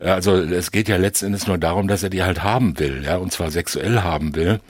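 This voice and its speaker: Deep, husky man arguing his point. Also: a little fast, no echo. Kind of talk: conversational debate about film.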